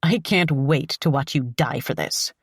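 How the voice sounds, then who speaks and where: A woman's voice: deep voice, unhurried, breathy edge, dry recording, clean studio sound, pitched below the performer's own voice, heavily acted. A woman voicing a fierce, defiant young woman who snarls and curses at her captor.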